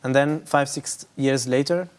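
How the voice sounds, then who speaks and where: A man's voice: mid-range voice, steady tone, measured pace, smooth. A man in his thirties, a musician, answering interview questions with many fillers and restarts. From Lebanon. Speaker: musician guest. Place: bright room with big windows, indoors. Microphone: lapel.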